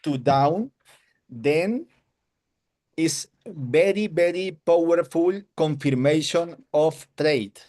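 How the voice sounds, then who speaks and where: Spanish-speaking man with a Colombian accent, slow halting broken English on a video call. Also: fairly high pitch, darkish tone. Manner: hesitant broken English, searching for words. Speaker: webinar attendee giving a testimonial.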